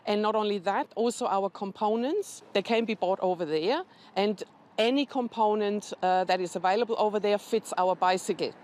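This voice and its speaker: A woman representing a charity, a guest in an interview, explaining the work in a steady, factual manner. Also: mid-range voice, moderate pace. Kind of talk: informative interview answers.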